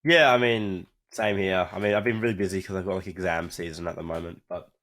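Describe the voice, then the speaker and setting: A man's voice: low voice, steady tone, fast talker, fairly bright tone. A young man bantering casually as a podcast co-host.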